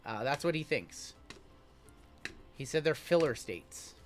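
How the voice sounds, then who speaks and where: A man's voice: fairly high pitch, expressive, slow, faint music behind. A man hosting a live card break, chatting casually and reading the chat aloud with a joking manner. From Iowa. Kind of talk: casual live-stream chat while opening cards.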